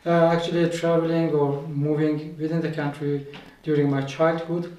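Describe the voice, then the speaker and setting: A man from Iran whose first language is Azerbaijani Turkish, a professor answering interview questions in a measured way with frequent fillers. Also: on the high side, level tone, warm tone. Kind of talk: conversational interview. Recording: echoey room, mic at a distance, faint noise.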